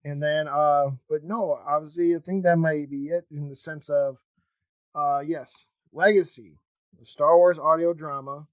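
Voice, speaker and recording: A man hosting a casual interview podcast, talking in a halting, rambling, informal way. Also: a little high, steady tone, dry recording, rough recording. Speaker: podcast host.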